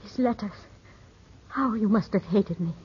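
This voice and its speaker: An actress in a 1950s radio drama playing a poised, enigmatic middle-aged widow, speaking in a measured, intimate, restrained way. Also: female voice, mid-range voice, husky, soft background music. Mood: shaken, probing. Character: poised, enigmatic widow of about thirty-five, wounded and gracious. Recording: dull, muffled old radio recording.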